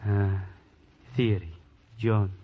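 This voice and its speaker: An actor playing a weary, bitter music teacher in an old-time radio drama, speaking in deliberate, florid, repetitive phrases. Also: male voice, on the low side, dull audio, background noise. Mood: sardonic, brooding.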